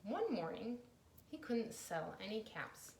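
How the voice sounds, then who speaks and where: Woman storyteller, animated pitch, deliberate dramatized phrasing, children's picture-book narration, echoey room with faint noise. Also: vocal fry. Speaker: storybook reader. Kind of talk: expressive children's read-aloud narration.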